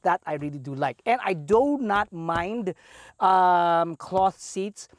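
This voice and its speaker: A man's voice: high voice, slow pace, no room sound. A man with a Filipino English accent hosting a car review walkaround, chatty and playful with enthusiastic asides.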